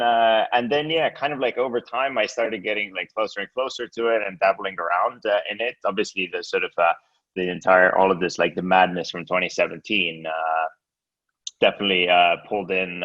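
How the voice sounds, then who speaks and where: A man from Estonia talks in a very low voice, stopping and restarting his sentences and filling the gaps with "uh" and "um". The sound is dull and lo-fi, and the pitch stays deep throughout. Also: steady tone, moderate pace. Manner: hesitant, many fillers and restarts. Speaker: guest, exchange co-founder. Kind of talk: casual interview.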